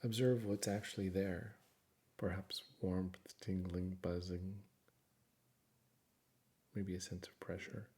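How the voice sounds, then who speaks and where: A man narrating a guided meditation in a calm, soothing, measured way, with frequent pauses. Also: sits low.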